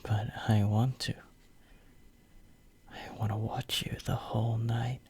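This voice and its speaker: A man playing a devoted, possessive young hero in a romantic ASMR roleplay, murmuring tenderly and intimately in a breathy whisper close to the microphone. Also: lowish voice, flat delivery, slow pace, darkish tone, slight background noise. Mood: sleepy, tender. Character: devoted, possessive young male hero soothing his lover.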